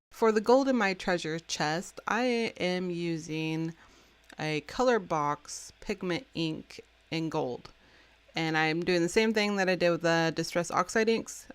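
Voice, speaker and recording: A young woman, a little raspy from having just woken up, chats through a card-making tutorial in a voice-over, messy and self-deprecating. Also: fairly low pitch. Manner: chatty, self-deprecating, with a few restarts. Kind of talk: casual voice-over craft tutorial.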